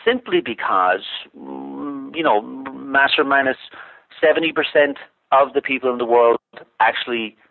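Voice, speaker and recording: A man's voice: mid-range voice, measured pace, gravelly, no room sound. A man from Ireland, a talk-show guest calling in by phone, explaining his views thoughtfully and restarting his sentences often. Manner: thoughtful, with frequent restarts and filler words. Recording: tinny dry phone line.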